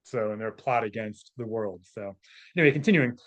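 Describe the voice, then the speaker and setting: A man's voice: on the low side, a little fast, a bit bright. A man giving casual, scornful spoken commentary as he reads through a text, with sarcastic asides. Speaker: commentator, presenter.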